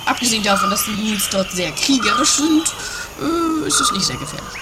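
A woman's voice: medium pitch, expressive, a little fast, very noisy, natural voice. A woman playing a solemn, easily offended, pompous guru in an amateur 1980s comedy radio play. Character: touchy, solemn guru devoted to his great master.

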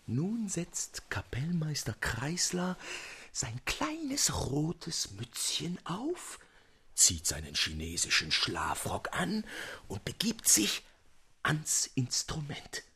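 An actor playing an eccentric storyteller in a radio drama, hushed and suspenseful, often slipping into a breathy whisper. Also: male voice, fairly high pitch, wildly swooping pitch, takes it slowly, exaggerated voice. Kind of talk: dramatic literary radio narration.

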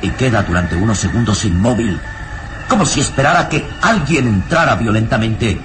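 A man narrating a radio melodrama in suspenseful, measured phrases with dramatic emphasis. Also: on the low side, lively intonation, background noise. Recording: radio drama with music underneath.

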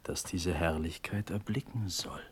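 A man's voice: low-pitched, measured pace, over music. A man playing an imprisoned prince brooding darkly on death in a classical verse radio drama, reflective and declamatory. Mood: brooding, resigned.

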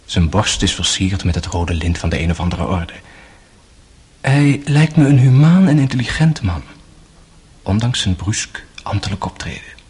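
Radio play narrator: sorrowful young man, very husky, deep, measured and literary. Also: animated, darkish tone, heavily acted. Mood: grave, measured. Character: grave, sorrowful young man narrating his brother's trial. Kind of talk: scripted radio drama narration.

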